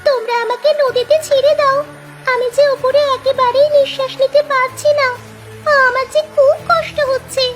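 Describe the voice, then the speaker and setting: A woman's voice: very high-pitched, flat delivery, moderate pace. A woman voicing a small magic talking fish in a cartoon, pleading insistently. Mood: pleading, distressed. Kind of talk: Bengali moral-tale cartoon dubbing. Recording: loud music under the voice.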